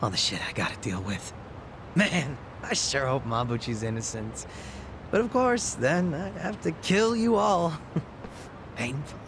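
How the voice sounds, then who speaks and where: An actor playing a sly, mocking gang boss who makes gleeful threats in a video game dub. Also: male voice, fairly high pitch, lively intonation, a little slow, noisy background. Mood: mocking, giddy. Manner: casual profane taunting.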